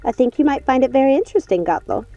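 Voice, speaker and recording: A woman's voice: sits high, lively intonation, fast talker, warm tone, no echo, lo-fi sound, soft background music, pitched above the performer's own voice. A woman voicing a haughty, controlling witch sister in an audiobook, insistent and placating with a condescending, falsely innocent manner. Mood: feigned innocence. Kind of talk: dramatic audiobook character voice.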